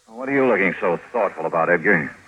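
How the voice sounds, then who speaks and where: An actor playing a shrewd, hard-boiled insurance investigator in a 1950s radio drama, crisp and probing. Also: male voice, deep voice, animated, fast talker, narrow, tinny audio. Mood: intent. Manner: crisp, probing, matter-of-fact. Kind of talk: 1950s radio detective acting. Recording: vintage 1950s radio recording with music.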